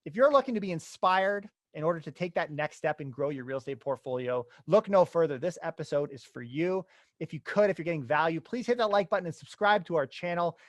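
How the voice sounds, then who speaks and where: Energetic young male podcast host. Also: on the high side, a little fast, no echo. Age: young adult. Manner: energetic, enthusiastic, casual. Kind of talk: upbeat podcast intro and interview.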